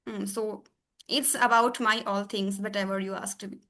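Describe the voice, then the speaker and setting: A woman hosting a conversational podcast, a teacher talking in chatty, halting English with frequent fillers and laughs. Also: mid-range voice, steady tone, fairly bright tone.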